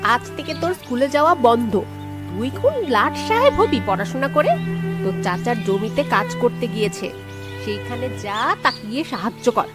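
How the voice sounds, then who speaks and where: A woman's voice: a little high, very animated, normal speed, over music. A woman voicing a cruel village aunt in a children's cartoon, scolding sharply and sarcastically. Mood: harsh, threatening. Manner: harsh, sarcastic, shouting scolding.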